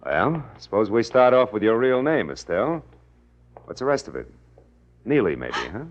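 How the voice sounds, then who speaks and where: An actor playing a tough, world-weary private detective in an old radio drama, with clipped, wry slang. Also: male voice, on the low side, very animated, normal speed. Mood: probing, wry. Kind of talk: 1940s radio noir acting and first-person narration. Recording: dull vintage radio recording with music.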